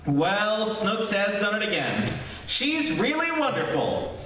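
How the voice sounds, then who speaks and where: A man's voice: high-pitched, wildly swooping pitch, takes it slowly, bright, forceful tone, exaggerated voice. A man playing an upbeat, showy vintage radio announcer. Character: chirpy 1940s radio announcer and sponsor pitchman. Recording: distant echoey hall with faint noise.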